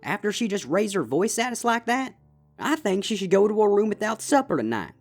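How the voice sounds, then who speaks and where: Male reader playing a drawling Southern farm gal, big-sister type, lively pitch, soft music under. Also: a little fast, exaggerated voice. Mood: stern. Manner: folksy drawl. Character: kind but stern young farm mare, big sister.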